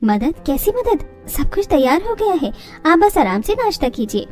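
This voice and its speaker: A woman plays a young daughter-in-law in a cartoon family story. She is cheerful, confident and a little sly, with a high voice. Faint music sits behind her. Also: very animated, moderate pace, a little mellow. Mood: proud, chirpy.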